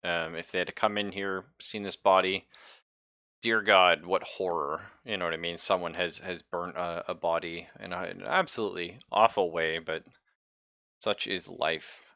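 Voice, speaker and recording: A man acting as game master, improvising descriptions and chatting informally, with a halting, casual delivery. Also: sits low, fairly even intonation, bright, forceful tone, dry recording, studio quality. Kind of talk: improvised tabletop game narration and casual chat.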